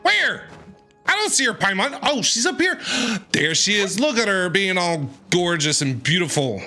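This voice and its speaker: A man streaming a game, reacting with quick jokes and animated quips. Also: high voice, faint music behind. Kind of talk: reaction commentary over a game.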